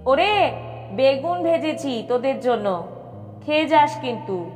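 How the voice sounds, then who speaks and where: Woman playing a gentle old lady in long reverb. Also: high voice, expressive, takes it slowly, voice pushed up. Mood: affectionate. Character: gentle, motherly elderly woman, shy yet caring. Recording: long reverb with music behind.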